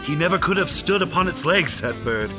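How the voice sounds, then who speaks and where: A man narrates a story with theatrical flair. His voice is fairly high and very expressive, set over clear music. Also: fairly quick, highs cut off. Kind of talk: dramatic scripted storytelling.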